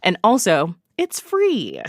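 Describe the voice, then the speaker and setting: A woman's voice: mid-range voice, huge pitch swings, takes it slowly, dry recording, studio quality. A woman giving event recommendations as a radio guest, talking casually and chattily with emphatic, informal phrasing. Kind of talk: casual conversational radio chat.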